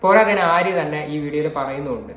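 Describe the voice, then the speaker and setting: A woman's voice: low voice, a little fast. A woman with a Kerala accent giving casual reaction commentary as a video commentator. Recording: roomy, echoing indoor sound.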